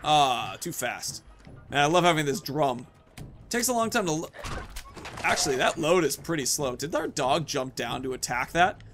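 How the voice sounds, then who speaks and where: A man's voice: fairly high pitch, lively intonation, moderate pace, a bit bright, soft background music. A man in his thirties giving casual, playful gameplay commentary, rambling and talking to himself as he plays. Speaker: gaming video host.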